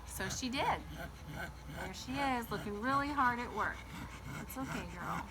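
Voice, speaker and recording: Female kids' read-aloud host, higher register, animated and question-filled delivery, recorded outside with noticeable ambient noise. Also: measured pace. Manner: animated, addresses young listeners with questions. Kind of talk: storybook read-aloud with chatty asides to children. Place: garden, sitting outdoors by a small table fort.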